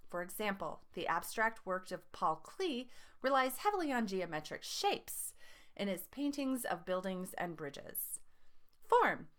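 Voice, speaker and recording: A woman teacher reads scripted educational material aloud, setting out terms and examples in a deliberate, enunciated way. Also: medium pitch, a bit bright. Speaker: teacher reading lesson aloud.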